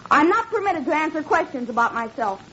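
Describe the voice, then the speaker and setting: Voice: sits high, very animated, a little fast, sharp tone, full character voice. An actor playing a stiff, defensive eleven-year-old boy, an indoctrinated and guarded German youth, in a 1940s radio drama. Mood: defiant. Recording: muffled, noisy old radio recording.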